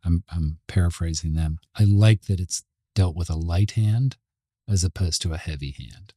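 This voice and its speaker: Low-pitched, mellow Canadian man. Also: moderate pace, breathy edge, dry recording, clean studio sound. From Montreal, Canada. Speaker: advertising creative executive, interview guest. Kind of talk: casual conversational interview.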